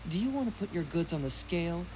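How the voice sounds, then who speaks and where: A woman's voice: on the low side, very animated, fast talker, mellow, slight background noise, rough recording. A woman voicing a young male detective in a video game, confident, composed and teasing.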